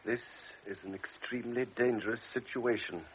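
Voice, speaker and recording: An actor playing a blunt, principled doctor in a vintage radio drama, grave and reproachful. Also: male voice, medium pitch, steady tone, moderate pace, lo-fi sound, a little hiss or hum, close to an everyday voice. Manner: grave, then sharply reproachful. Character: stern older male doctor, blunt and principled.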